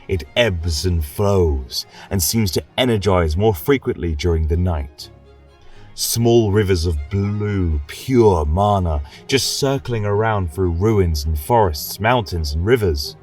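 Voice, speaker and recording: A man's voice: low voice, exaggerated voice. A man playing a genial, rambling lecturer at a college of magic, speaking in a flowery, coaxing way in a scripted fantasy audio drama. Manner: flowery, gently coaxing, chuckling scholar.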